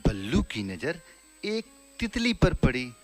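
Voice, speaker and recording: A man's voice: medium pitch, wildly swooping pitch, slow pace, loud music behind the voice. A man narrating a scripted children's story.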